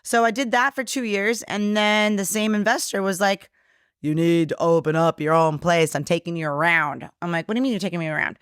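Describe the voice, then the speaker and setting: A woman's voice: lowish voice, a little fast, smooth, no echo. Woman guest rattling off stories, polish first language, acts out what people said, dry mic. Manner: animated storyteller, acts out quotes, swears casually. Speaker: guest, brewery co-owner. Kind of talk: casual bar podcast chat.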